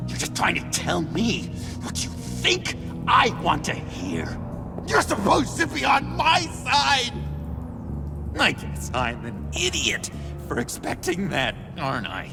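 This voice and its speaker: An actor playing an unstable, nervous young man in a video game, stammering and halting, paranoid and quick to swing into outbursts. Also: high-pitched, huge pitch swings, gravelly, music in the background, full character voice. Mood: hurt, suspicious. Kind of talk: dramatic video game acting.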